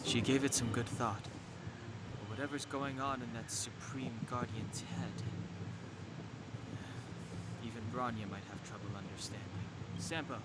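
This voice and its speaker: An actor playing an earnest, level-headed young companion in a video game. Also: male voice, medium pitch, steady tone, moderate pace, natural voice, poor audio. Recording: game music behind.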